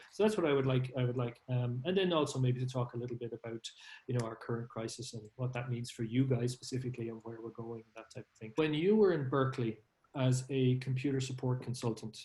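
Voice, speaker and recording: A man's voice: mid-range voice, fast, darkish tone. Man hosting in an echoey room. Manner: rambling, hesitant with fillers. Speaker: podcast host and CEO. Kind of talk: podcast interview.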